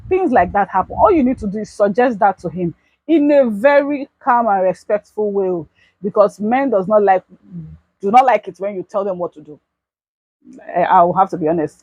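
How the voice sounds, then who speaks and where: A woman's voice: medium pitch, darkish tone, slightly rough, dry recording, low-quality recording. A young Nigerian woman talking casually to her viewers in an advice vlog. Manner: chatty, addresses viewers as bestie. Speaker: YouTube vlogger.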